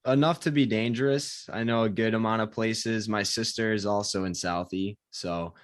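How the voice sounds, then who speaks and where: A man's voice: on the low side, fairly even intonation, normal speed, dry recording, clean studio sound. A young man from Connecticut, a podcast guest, talking in an easygoing, conversational way. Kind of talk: casual interview chat.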